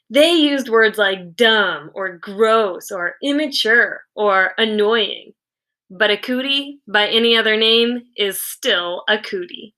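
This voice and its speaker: A woman reading a children's novel aloud like a teacher, with expressive storytelling and deliberate, dramatic emphasis on key words. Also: a little high.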